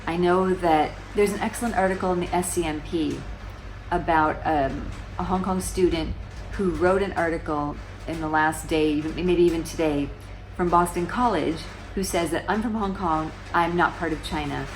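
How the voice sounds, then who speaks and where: A woman's voice: fairly low pitch, fairly even intonation, normal speed, slight background noise, rough recording. A woman in her forties explaining current events to camera in a casual, conversational way, often restarting sentences and asking rhetorical questions. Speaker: vlogger. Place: small room indoors, seated on a sofa.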